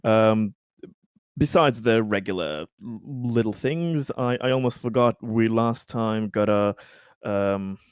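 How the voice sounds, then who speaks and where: A man's voice: lowish voice, slow pace, clean tone, no echo, clean studio sound. A man playing a tabletop game, casually and hesitantly explaining what his character does, in a somewhat apologetic manner. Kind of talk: casual chat about in-game actions.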